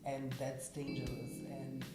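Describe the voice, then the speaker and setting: A woman's voice: very deep voice, completely flat delivery, a little slow. A middle-aged woman from Cape Town with a South African accent, chatting as an interview guest and slipping into Xhosa phrases. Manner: mixes in Xhosa phrases, laughs often. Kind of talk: casual interview chat. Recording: echoey room with loud music bed.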